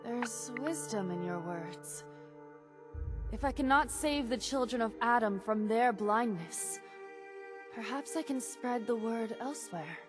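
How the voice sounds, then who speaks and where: Video game heretic cultist: high voice, zealous, solemn, dramatic pauses, music behind. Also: female voice, lively intonation. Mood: solemn resolve. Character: fervent exiled female heretic cultist preaching nothingness.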